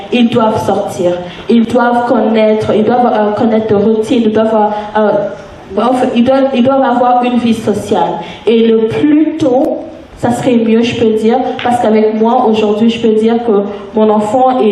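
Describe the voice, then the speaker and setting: A woman's voice: on the high side, dark tone, slight background noise. A woman speaking earnestly at a live panel discussion, with frequent restarts and hesitations. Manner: earnest, halting with many restarts. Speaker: panelist mother. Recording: echoey room, mic far off.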